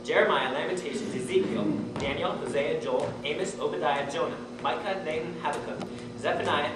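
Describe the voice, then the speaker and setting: A man's voice: fairly high pitch, brisk, close to an everyday voice. A man playing an earnest, awkward, polite young man on a blind date in a live stage comedy. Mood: earnest, proud. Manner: eager, polite, plain-spoken. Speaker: student stage actor. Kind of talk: live one-act stage comedy acting. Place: theatre stage, recorded from afar. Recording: echoey noisy stage, distant mic.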